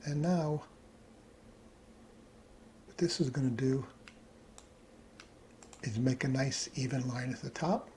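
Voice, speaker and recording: A man's voice: medium pitch, slow pace, pauses often. A man giving a casual tutorial narration, thinking aloud as he works at his computer. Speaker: hobbyist presenter. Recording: steady background noise, keyboard clicks.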